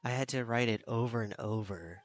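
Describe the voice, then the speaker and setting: A man voicing an expressive, easily exasperated young male game character with an animated, questioning fan-dub delivery. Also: medium pitch, a little fast, natural voice.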